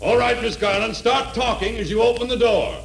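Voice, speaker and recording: Very high-pitched, brisk film director. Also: male voice, vocal fry. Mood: brisk, commanding. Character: brisk male film director calling orders on set. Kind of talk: 1940s radio comedy acting. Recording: roomy, noisy 1940s radio recording.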